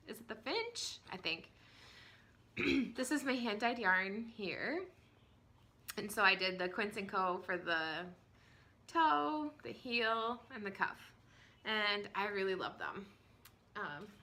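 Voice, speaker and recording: A woman in her thirties hosting a knitting podcast from home, chatting casually with many ums and small laughs, her voice hoarse from a cold. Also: mid-range voice, pushed and bright. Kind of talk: casual chat to camera. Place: at home, indoors.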